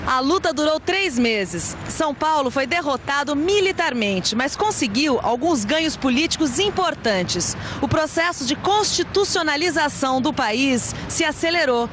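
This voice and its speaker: A woman's voice: high-pitched, animated, a little slow, few pauses, a bit bright, a little hiss or hum. A woman with a Brazilian accent reading a scripted historical documentary narration.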